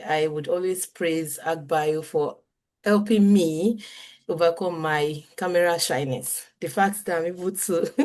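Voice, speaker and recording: A woman hosting an online interview, friendly, laughing lightly and reading audience questions quickly. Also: lowish voice, darkish tone. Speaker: host.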